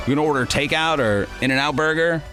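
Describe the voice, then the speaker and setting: A man's voice: fairly high pitch, brisk, sharp tone. An American man working as a radio DJ, talking in a casual, chatty, teasing way between songs. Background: music beds behind the voice.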